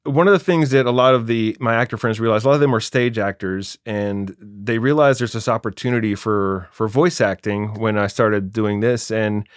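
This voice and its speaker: A man's voice: on the low side, moderate pace, hardly any pauses, smooth, no room sound, studio quality. A man hosting a podcast, asking interview questions in casual conversation. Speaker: podcast host.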